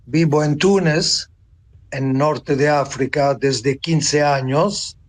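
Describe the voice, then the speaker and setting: Slow-speaking man in his seventies. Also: a little high. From Tunisia. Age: 70s. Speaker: interview guest, author and translator. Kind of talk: reflective personal account in an interview.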